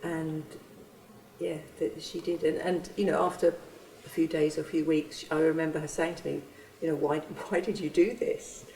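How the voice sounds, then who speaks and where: Earnest woman giving testimony; gravelly, mellow, recorded distant in a reverberant space. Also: low-pitched, level tone, a little hiss or hum. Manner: earnest, halting with restarts. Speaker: church member and refugee host. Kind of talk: reflective testimony. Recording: roomy, from a distance.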